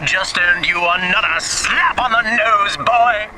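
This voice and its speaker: A man's voice: high-pitched, takes it slowly, over loud music. An actor playing a flamboyant, mocking male villain in a video game, theatrical and scolding. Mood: gleeful taunting.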